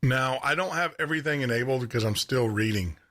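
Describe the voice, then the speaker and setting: A man with a deep baritone voice hosting a live radio show and podcast from his studio, chatty, laughing often and repeating words as he talks. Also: fairly quick, sharp tone. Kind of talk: casual live radio chat. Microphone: studio microphone with headphones.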